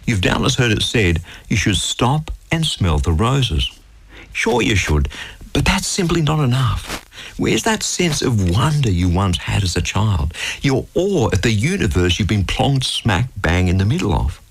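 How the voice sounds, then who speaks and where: A man's voice: lowish voice, very animated, a little slow, slight background noise. A man hosting a scripted radio devotional in an earnest way, often putting rhetorical questions to the listener. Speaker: devotional program host.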